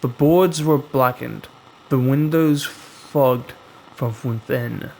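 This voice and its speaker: Very slow, gravelly male horror storyteller. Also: medium pitch, a little mellow, rough recording. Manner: slow dramatic delivery with suspenseful pauses. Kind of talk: scripted horror story narration.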